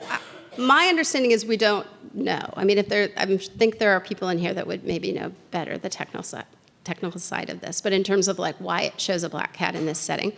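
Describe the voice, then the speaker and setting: A woman at a conference, fielding questions from the audience. She thinks out loud, with plenty of fillers and self-corrections, at an ordinary pitch and an everyday conversational pace. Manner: thinking aloud, many fillers and restarts. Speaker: conference speaker. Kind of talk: conference Q&A answer. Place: lecture hall.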